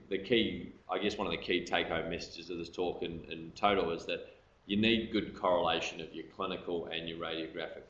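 Male registrar, very low voice, halting lecture delivery, roomy recording. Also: fairly bright tone. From Australia. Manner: hesitant, many ums and uhs, trailing off at phrase ends. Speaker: orthopaedic registrar presenting. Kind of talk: recorded medical lecture over slides. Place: hospital lecture room. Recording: echoey room, faint background hiss.

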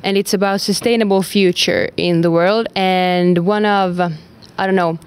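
A woman's voice: medium pitch, fairly even intonation, moderate pace, clean tone. A young woman from Finland whose first language is Swedish, answering interview questions in English with hesitant fillers in a school classroom. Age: young adult. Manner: hesitant, with frequent fillers and restarts. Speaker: exchange student.

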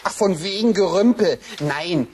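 A man's voice: sits high, measured pace, a little mellow, slight background noise. An actor playing a dim-witted, good-natured male puppet creature who hesitates a lot, in a children's radio play. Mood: earnest. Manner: hesitant, lots of ums.

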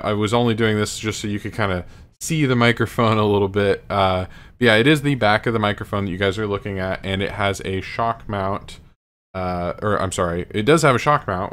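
A man's voice: on the low side, a bit bright, clean tone. A man in his thirties reviewing his microphone to a webcam in a small home room, rambling casually and correcting himself. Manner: rambling, self-correcting, apologetic asides. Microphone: studio condenser mic close to face.

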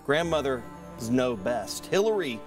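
A man's voice: mid-range voice, big pitch swings, unhurried, distant mic, music in the background. A man in his thirties co-hosting a comedy segment in a studio, reading out social posts in a jokey, mock-dramatic way. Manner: theatrical, jokey. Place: television studio set.

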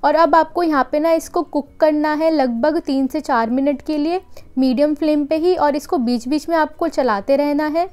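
High-voiced woman explaining a recipe. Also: moderate pace. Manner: calm, patient instructional tone. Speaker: cooking channel host. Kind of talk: step-by-step recipe voice-over.

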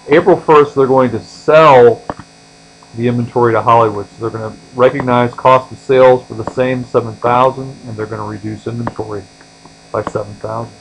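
Male tutorial instructor, mid-pitched voice, step-by-step explanation that trails off at phrase ends, faint mains hum underneath. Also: gravelly, a bit bright, low-quality recording. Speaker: accounting instructor. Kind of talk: explanatory tutorial lecture, walking through on-screen examples.

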